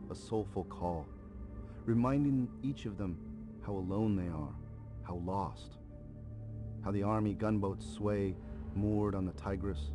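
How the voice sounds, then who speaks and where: A man giving a solemn poetry reading. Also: low-pitched. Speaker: poem reader. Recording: music behind the voice. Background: music.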